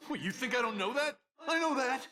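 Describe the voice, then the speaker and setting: A blunt, proud young echidna warrior from a video game. His voice is high for a man and very bright, with long hall reverb around it. He sounds earnest and forceful. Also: animated, a little fast, heavily acted. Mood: indignant. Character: young male echidna guardian, hot-headed, proud and dutiful. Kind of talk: video game cutscene acting.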